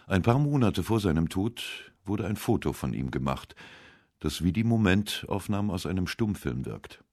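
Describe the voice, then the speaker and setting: A man reading scripted narration for a radio essay in a measured, literary way. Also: deep voice, big pitch swings, darkish tone, dry recording, studio quality.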